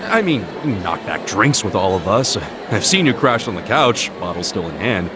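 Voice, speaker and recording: An actor voicing an easygoing, goofy, good-hearted man in an English-dubbed video game, talking in casual, friendly, expressive banter. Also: lowish voice, normal speed, background noise. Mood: cheerful, teasing.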